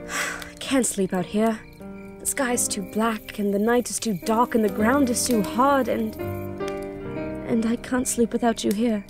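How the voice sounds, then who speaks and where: A woman's voice: fairly high pitch, fairly even intonation, measured pace, music in the background. A young woman playing an anxious, sorrowful princess in an audio drama, earnest and melancholy. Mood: weary, longing. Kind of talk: audio drama acting and first-person narration.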